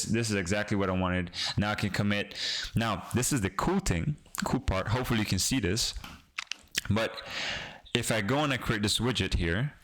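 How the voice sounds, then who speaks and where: A young man from the Caribbean, a native Creole speaker, chatting casually with his stream while he codes, rambling and pausing a lot as he restarts his sentences. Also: lowish voice, fairly bright tone. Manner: rambling, pauses and restarts, talks to chat. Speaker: streaming developer. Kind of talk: casual live-stream coding chat.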